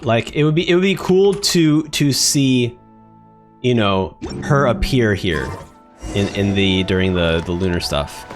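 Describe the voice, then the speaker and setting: A man's voice: on the low side, lively intonation, unhurried, over music. A man giving casual, joking, rambling live commentary as he streams a video game.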